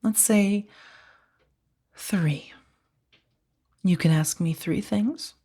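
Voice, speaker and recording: A woman playing a powerful, coolly amused mafia boss in a soft-spoken ASMR roleplay, unhurried and condescending, with a breathy, husky voice. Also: mid-range voice, exaggerated voice. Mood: calm, commanding. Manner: unhurried, velvety menace with condescending endearments.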